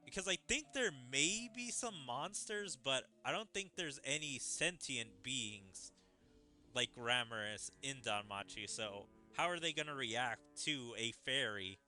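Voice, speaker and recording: Guy streaming a game, kinda musing out loud, really bright voice, music behind him. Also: medium pitch, animated, unhurried. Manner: musing, thinking aloud in questions. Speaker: gaming streamer. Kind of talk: casual commentary on a game story. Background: mallet-percussion game music.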